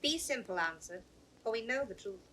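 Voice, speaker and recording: Scornful, sadistic noblewoman: highish, cutting voice, contemptuous Shakespearean delivery. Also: lively intonation, moderate pace. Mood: scornful, demanding. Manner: sharp, contemptuous. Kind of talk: 1960s Shakespearean audio drama acting. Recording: slightly noisy 1960s drama recording.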